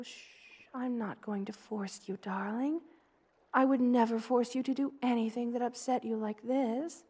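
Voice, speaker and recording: Woman voicing a slow, soothing motherly spider queen. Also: a little high. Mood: gentle concern. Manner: tender, soothing, endearments, gently formal diction. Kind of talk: ASMR roleplay.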